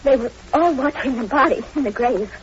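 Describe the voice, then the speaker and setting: A woman's voice: high voice, brisk, close to an everyday voice. A woman playing a young heroine, horrified and distressed, in old radio horror drama acting. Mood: shaken. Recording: dull lo-fi old radio recording, hiss.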